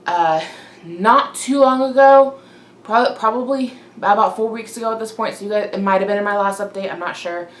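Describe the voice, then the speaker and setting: A young woman vlogging in a casual, chatty way, slightly congested, breathy and winded from a cold. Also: mid-range voice, normal speed. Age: young adult. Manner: informal, chatty. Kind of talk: casual chat to camera. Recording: roomy echo, clear background noise.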